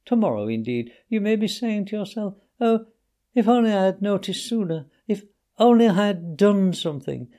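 A vain toad sighs out his words in a feeble, martyred voice, as a man puts him on. His pitch climbs high and swings about as he lays on the self-pity. It is a storybook reading. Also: lively intonation, normal speed, dark tone. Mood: wheedling. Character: vain self-pitying male toad feigning illness.

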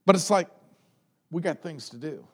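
A male pastor preaching a conversational sermon in a loud voice, often repeating words as he builds a point. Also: fairly high pitch, expressive, normal speed, husky.

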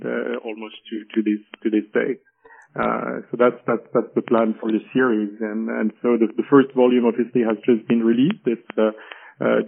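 A man speaks down a thin, phone-like call line with a faint hiss. He talks haltingly, stacking fillers and restarts as he explains. His pitch sits in a middle range for a man. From France. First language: French. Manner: halting, many fillers and repeated words. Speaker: guest, animation historian. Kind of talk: interview over a call.

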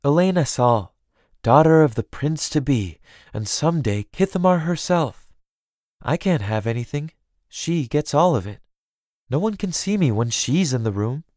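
An earnest young noblewoman burdened by duty, voiced by a male narrator. She sounds lonely and plaintive, in storybook dialogue. The pitch sits a little high and moves freely, recorded dry and close. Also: lively intonation, normal speed, a little mellow, no echo, natural voice. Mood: lonely, resigned. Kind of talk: audiobook character dialogue.

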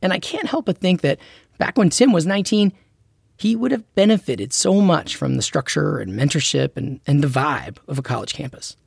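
A man's voice: fairly high pitch, lively intonation, normal speed, vocal fry, slightly rough, dry recording, studio quality. A man hosting and narrating a documentary-style story in a conversational, personal scripted way. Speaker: host and narrator.

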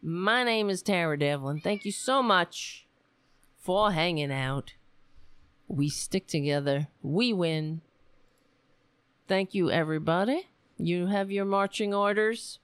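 A woman hosting a casual political talk show, rambling and sarcastic, hoarse because she is losing her voice. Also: fairly low pitch, slow pace, long pauses, no room sound.

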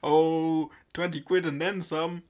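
A man's voice: on the high side, little pitch movement, slow, darkish tone. A man improvising an eccentric, boastful actor from a parallel universe who breaks into sudden sing-song exclamations. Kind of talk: improvised comedy character.

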